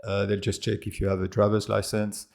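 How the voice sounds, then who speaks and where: A middle-aged man speaks with a French accent in a casual vlog, talking straight to camera. His low voice has a heavy gravelly fry. He pauses often, stumbling over fillers and restarting his sentences. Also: little pitch movement, darkish tone. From France and Australia. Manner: hesitant, many fillers and restarts. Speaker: expat vlogger.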